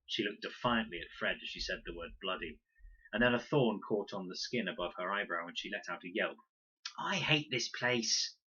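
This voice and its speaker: A man reading a children's audiobook in an expressive, dramatic storytelling manner. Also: sits low, a little fast, breathy edge, lo-fi sound. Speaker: reader.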